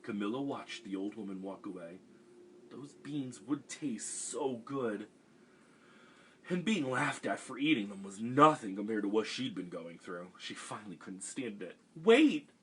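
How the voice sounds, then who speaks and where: A man reading a picture book aloud for a children's storytime, animated and expressive. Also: lowish voice, unhurried, slightly rough, low-quality recording.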